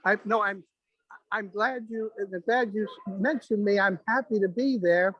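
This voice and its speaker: A man's voice: high voice, a little slow, no echo, lo-fi sound. A man in his sixties chatting casually as an attendee of a meeting. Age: 60s. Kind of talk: casual chat.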